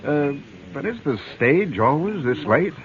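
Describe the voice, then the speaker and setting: An actor playing a well-to-do, excitable New York investor in an old radio western. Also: male voice, on the high side, unhurried. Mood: polite, genial. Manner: genteel, excitable, fretful. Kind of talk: 1950s radio western acting. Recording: dull old radio recording.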